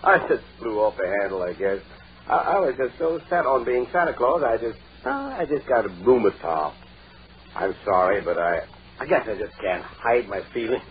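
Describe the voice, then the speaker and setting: An actor playing a well-meaning, excitable middle-aged family man who stammers and blusters in a vintage radio sitcom. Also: mid-range voice, moderate pace, slight background noise, lightly acted. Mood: sheepish. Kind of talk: 1940s-50s radio sitcom acting. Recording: dull old radio recording, studio audience.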